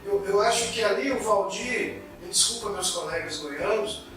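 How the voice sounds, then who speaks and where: A man from Goiás in Brazil co-hosting a political talk show, talking in an indignant, halting way with many restarts. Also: high-pitched, fairly bright tone, very echoey, recorded from a distance, faint music behind, rough recording. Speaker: political commentary co-host. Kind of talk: casual political discussion.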